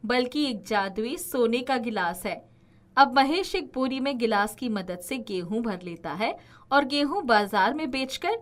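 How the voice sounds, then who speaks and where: A woman's voice: on the high side, a little hiss or hum. A woman narrating a scripted children's story as the narrator.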